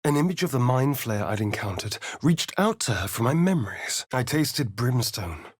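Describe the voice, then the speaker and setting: An elegant snarky male vampire rogue for a game, arch and dramatic, very animated, dry close recording. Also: mid-range voice, normal speed, husky, dry recording. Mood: brooding, dramatic. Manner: arch, theatrical, drawling, disdainful. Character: sardonic, foppish male vampire spawn rogue, elegant and theatrical. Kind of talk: video game voice acting, companion dialogue and narration.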